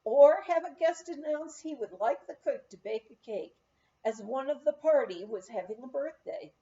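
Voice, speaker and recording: A woman reading an anecdotal memoir aloud in a measured, expressive storytelling manner. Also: high voice, dull audio. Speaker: audiobook reader of a memoir.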